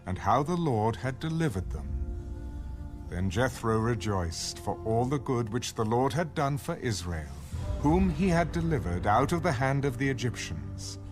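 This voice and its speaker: A man reading scripture as the narrator of a dramatized audio Bible. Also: mid-range voice. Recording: music bed under the voice. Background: music.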